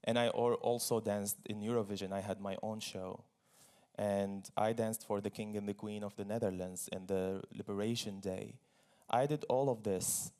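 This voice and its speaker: A man's voice: on the low side, steady tone, moderate pace, a little mellow. Earnest man telling his own story. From Syria. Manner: earnest, laughs between phrases, repeats for emphasis. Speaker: guest speaker, dancer. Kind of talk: stage conversation, personal story. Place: stage at a live forum.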